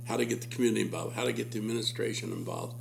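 A man interviewing a guest, with a low, heavily gravelly voice full of fry. He encourages and praises her answers, and a faint hum runs behind him. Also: rapid-fire. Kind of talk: interview conversation.

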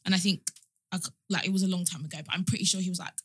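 A woman's voice: lowish voice, fairly even intonation, racing, fairly bright tone, breathy edge, dry recording, clean studio sound. A young woman from England in a casual group chat, telling stories animatedly and laughing often.

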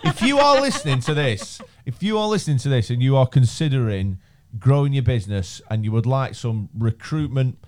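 A man in his thirties hosts a chatty business podcast from a studio. He jokes and rambles, and his voice jumps around a lot in pitch. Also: sing-song, brisk. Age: 30s. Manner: joking, rambling, repeats phrases. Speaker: podcast host. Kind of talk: casual chat.

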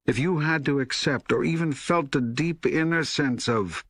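An older man voicing God as a wise, patient teacher in an audiobook, raspy, measured and authoritative, with emphatic pauses. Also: on the high side, dry recording, clean studio sound. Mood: solemn, instructive. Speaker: audiobook co-narrator. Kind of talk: scripted narration, spiritual dialogue.

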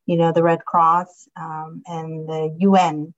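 Woman, 40s, from Somalia, interview guest; lowish, level voice, earnest and halting; muffled remote-call audio. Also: level tone. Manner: earnest, halting, many ums and uhs. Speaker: guest, county commissioner. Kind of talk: interview storytelling.

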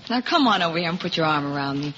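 An actress playing a devoted young sweetheart, brisk, coaxing and tender, in a 1950s radio drama. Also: female voice, sits low, expressive. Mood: spirited, tender. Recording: very noisy, dull old radio recording.

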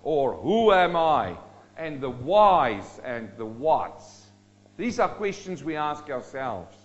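Dynamic preacher from South Africa. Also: male voice, on the high side, big pitch swings, takes it slowly, lo-fi sound. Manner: dynamic, rising and dropping sharply for emphasis. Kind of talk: sermon.

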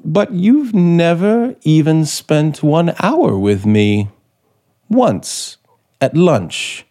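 An actor playing a brooding, manipulative scriptwriter in 1950s radio suspense style, probing deliberately with dramatic pauses. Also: male voice, fairly high pitch, very animated, mellow, exaggerated voice. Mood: intense, testing. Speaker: actor in the lead role. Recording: 1950s radio broadcast, music behind.

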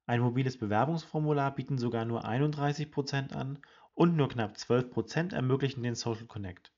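A man hosting a weekly HR news podcast, reading a scripted report in a brisk, informative way and addressing listeners directly. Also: medium pitch, darkish tone, highs cut off. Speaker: podcast host presenting HR study results.

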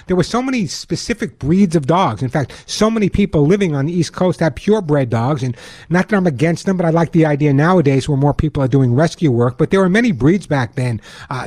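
A man's voice: on the high side, darkish tone, no echo. An older man from Long Island hosting a call-in pet radio show, chatty and joking, repeating himself as he talks to listeners. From Long Island, New York. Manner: chatty, repeats phone number slowly, laughs at own jokes.

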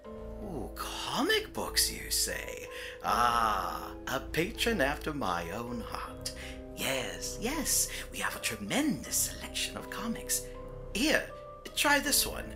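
A man's voice: mid-range voice, wildly swooping pitch, slow. A man playing a creepy, courteous shopkeeper who hosts a horror audio drama with theatrical, sly hesitation. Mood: delighted. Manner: theatrical, hesitant, slyly welcoming. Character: creepy, courteous old comic-book shopkeeper, horror storyteller host. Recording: music playing behind the voice.

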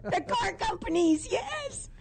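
A woman co-hosting a radio talk show with a rough, husky voice, chatty and quick to chime in. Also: extremely high pitch, a little hiss or hum. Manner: chatty, lively interjections. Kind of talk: casual talk radio banter.

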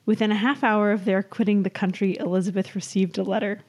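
A woman's voice: medium pitch, fairly even intonation, fast talker, a little mellow. A woman podcast host with a giggly manner, casually reading her own comic period story aloud. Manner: giggly, breaks into laughter while reading.

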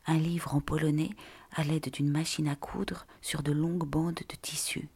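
A woman reading literary texts in a breathy, whispery voice with deliberate, measured phrasing. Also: sits low, little pitch movement, darkish tone.

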